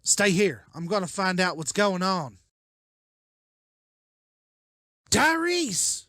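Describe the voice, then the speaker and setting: A man's voice: high voice, big pitch swings, unhurried, bright, forceful tone, no room sound, clean studio sound, exaggerated voice. A man playing a firm, practical leader of survivors in a motion comic drama. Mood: urgent. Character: decisive, level-headed male leader of survivors.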